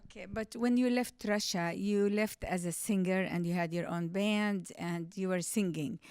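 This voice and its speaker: A woman's voice: medium pitch, steady tone, moderate pace, darkish tone, clean tone, dry recording, studio quality. A woman hosting a TV interview show, upbeat, asking simple prompting questions. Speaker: talk show host.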